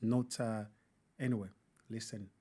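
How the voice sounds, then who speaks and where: A man's voice: lowish voice, level tone, unhurried, dark tone, a little hiss or hum. A man hosting a reaction commentary, talking over a clip and repeating its key lines. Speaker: commentary host.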